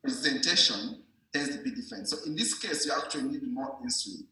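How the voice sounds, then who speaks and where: A man's voice: medium pitch, quick pace, sharp tone. A man giving an informal medical explanation in a didactic way, repeating himself and checking that the listener understands. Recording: very echoey room.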